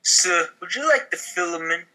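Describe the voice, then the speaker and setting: A man's voice: high-pitched, animated, normal speed, bright, forceful tone, low-quality recording. A man performing parts in an informal comedy table read. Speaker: podcast performer reading parts.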